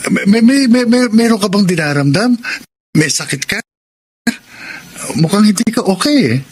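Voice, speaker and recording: Earnest, lightish-voiced Filipino fiancé. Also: male voice, sits high, animated, moderate pace, slightly rough. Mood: puzzled, worried. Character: eager, worried young fiancé. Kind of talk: Tagalog radio drama acting.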